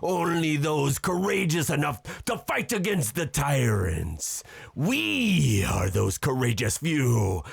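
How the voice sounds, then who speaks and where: An actor playing a resolute, commanding sea captain in a nautical audio drama, with a gravelly, raspy voice and solemn, oratorical delivery. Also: male voice, fairly high pitch, big pitch swings, slow, no echo, full character voice. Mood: rousing, defiant.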